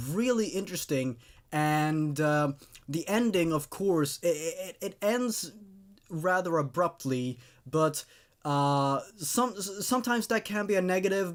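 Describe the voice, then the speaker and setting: A man hosting a horror story show, speaking in an enthusiastic, emphatic, casual review style and often repeating words. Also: on the high side. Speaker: host reading and reviewing horror stories.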